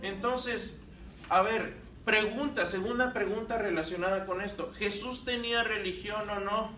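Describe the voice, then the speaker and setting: A man's voice: high voice, fairly even intonation, a little slow, bright, forceful tone, reverberant, recorded from a distance, a little hiss or hum. A man from Mexico teaching a Bible class, talking to the room and putting questions to his students. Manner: conversational, asks the class questions. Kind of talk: church class lecture.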